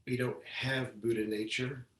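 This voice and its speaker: A man giving a measured Zen dharma talk as a teacher, speaking haltingly and deliberately with long pauses. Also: mid-range voice, flat delivery. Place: indoor room, talk given over video call. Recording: roomy, echoey, distant microphone on video call.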